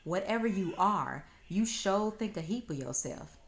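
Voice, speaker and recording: A woman's voice: mid-range voice, animated, unhurried, pitched above the performer's own voice. A woman voicing a bold, unimpressed little country girl in a storybook, in a plain-spoken dialect. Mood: sassy, unimpressed. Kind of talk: storybook read-aloud.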